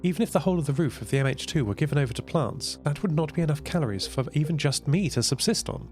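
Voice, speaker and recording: A man acts out an inventive, practical woman at sea, quoted within an audio drama. The pitch is lifted above the narration, and the delivery is earnest and explanatory. Music is clearly heard underneath. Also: animated, fast talker, darkish tone, lightly acted. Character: Linda, practical inventive woman sailor, warmly teasing.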